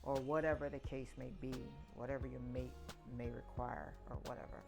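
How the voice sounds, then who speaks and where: A woman's voice: rumbling low, steady tone, brisk, gravelly, over music. A woman in her sixties sharing personal reflections in conversation, emphatic and repeating words for stress. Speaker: podcast guest, the host's mother.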